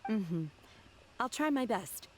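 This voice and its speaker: An actress playing a reserved, devoted young disciple in a video game, earnest, polite and measured. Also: female voice, fairly high pitch, lively intonation, very noisy. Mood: gentle, respectful. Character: calm, reserved young woman, devoted disciple of her master.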